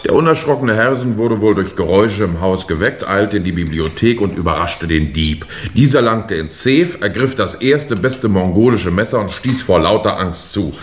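Man as very deep, pedantic young gentleman. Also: animated, slight background noise, close to an everyday voice. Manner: brisk, pedantic, matter-of-fact. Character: unsentimental, pedantic nephew of an English lord. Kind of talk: 1950s German radio play acting.

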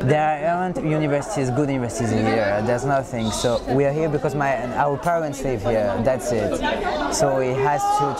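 A seventeen-year-old boy from Morocco, talking frankly with halting restarts in an outdoor interview at night. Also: mid-range voice. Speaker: student. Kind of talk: street interview. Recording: loud crowd around. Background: crowd of young people talking.

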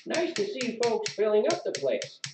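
A folksy old villager, acted by a male narrator, sociable and outspoken, talks in a rustic, colloquial way. His voice is pitched up, in a roomy storybook recording with clear noise. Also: huge pitch swings, moderate pace. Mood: friendly, nudging. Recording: roomy, distant mic, noisy with clicks.

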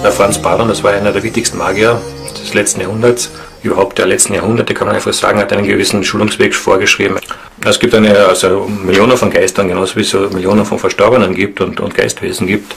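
A man's voice: fairly low pitch, level tone, fast talker, vocal fry, fairly bright tone, faint music behind. A man giving an informal, conversational account in an interview.

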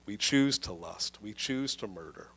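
A man in his thirties preaching a sermon into a handheld microphone indoors, earnest and emphatic, slowing down to stress key phrases. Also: fairly low pitch, animated, a bit bright, slightly rough. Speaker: pastor. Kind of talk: livestreamed sermon. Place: indoor church room.

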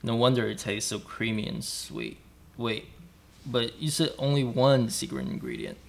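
A student actor plays a young man in an amateur radio play, puzzled and a little mischievous. His mid-pitched voice has a creaky edge, with light sizzling noise around it. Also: very animated, normal speed. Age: young adult.

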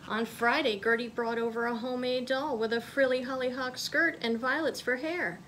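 A woman in her thirties, a children's librarian, reading a picture book aloud expressively with clear phrasing in a small room. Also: a little high, fairly even intonation, moderate pace, a bit bright, a little hiss or hum. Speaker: children's librarian, storytime reader.

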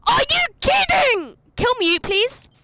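A woman chatting and joking while playing a shooter game, getting loud and excited in fights. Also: very high-pitched, takes it slowly, background noise. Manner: chatty, jokey, shouts excitedly. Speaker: gameplay streamer. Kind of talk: casual gameplay chat.

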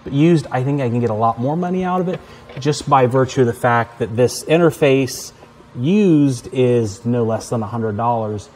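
A man's voice: mid-range voice, moderate pace, darkish tone, a little hiss or hum. Offhand man in a warehouse. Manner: plain-spoken, offhand, practical. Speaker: appliance resale vlogger. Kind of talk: casual to-camera explainer. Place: large indoor appliance warehouse. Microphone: phone camera held close.

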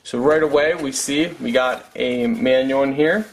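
A man's voice: medium pitch, moderate pace, slight background noise, rough recording. A man in his thirties doing a casual product unboxing review, talking as a reviewer at home. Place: room at home.

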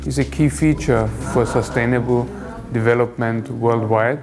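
A middle-aged man, a programme director, making a deliberate, formal promotional statement to camera. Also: mid-range voice, steady tone, music in the background.

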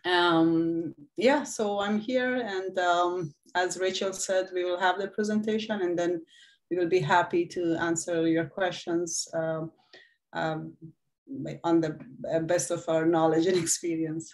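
Female presenter, thirties, Afghan accent, slightly low voice, hesitant informal delivery in an online seminar. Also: little pitch movement, measured pace. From Afghanistan, Iran and Pakistan. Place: indoor room, video call.

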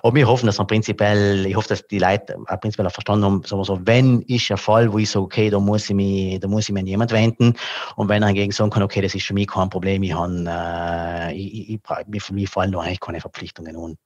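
A man's voice: low voice, steady tone, fast talker, darkish tone, no room sound. A middle-aged man, a tax advisor, explaining things carefully over a headset video call, with many fillers. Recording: dull lo-fi call audio.

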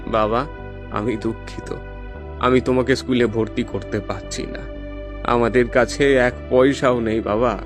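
A man's voice: mid-range voice, fairly even intonation, unhurried, music in the background, close to an everyday voice. A man playing a poor, honest boatman and father in a cartoon fairy tale, speaking in an earnest, plaintive way. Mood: sad, plaintive.

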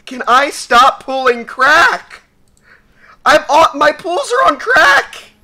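A young man with a raspy voice giving casual, profane commentary while opening loot, swinging between mumbling and shouting. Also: very high-pitched, sing-song, slow, slight background noise. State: raspy from sneezing. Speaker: gaming YouTuber.

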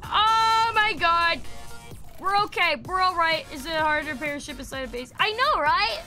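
A woman's voice: extremely high pitch, animated, moderate pace, pushed and bright. A young woman streaming a game, sick and losing her voice, chatting casually, swearing and shrieking when startled. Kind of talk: casual gameplay streaming chat. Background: faint game music.

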